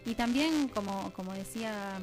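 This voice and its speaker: A woman's voice: mid-range voice, moderate pace, over music. A woman with an Argentine accent talking discursively and reflectively as a guest in a conversational radio interview. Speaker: radio drama producer and guest.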